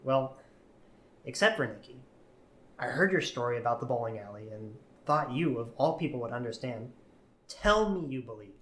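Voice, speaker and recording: A man playing an earnest, haunted man in his early twenties who tells his eerie story haltingly in an audio drama. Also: mid-range voice, animated, a bit bright, lightly acted. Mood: earnest, pleading. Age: young adult. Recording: roomy, echoey voice recording.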